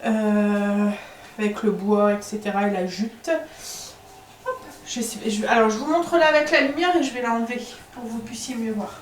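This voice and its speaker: A woman vlogging casually from home, with a stuffy nose from a cold, chatting with lots of filler pauses. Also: fairly high pitch, reverberant, slight background noise. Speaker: family vlogger. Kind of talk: casual home vlog chat.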